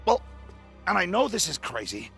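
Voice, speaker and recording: An actor playing an earnest, wistful middle-aged inventor who still grieves a lost love in a video game. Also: male voice, sits high, big pitch swings, normal speed, creaky, fairly bright tone, faint music behind, heavily acted. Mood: hesitant, earnest. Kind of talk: cinematic video game dialogue, emotive storytelling.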